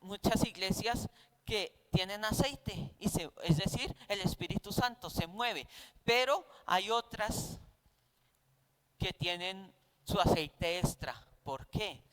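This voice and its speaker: Colombian guy preaching in a bible class, pretty high clear voice, explains like a teacher. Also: slow pace, a bit bright, poor audio. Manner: didactic, conversational, poses rhetorical questions.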